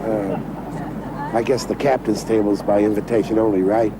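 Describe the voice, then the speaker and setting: An actor playing an insistent, middle-aged ship passenger in a TV drama, speaking bluntly. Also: male voice, on the low side, flat delivery, normal speed, close to an everyday voice. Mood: eager, probing. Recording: very noisy old TV soundtrack, ship ambience.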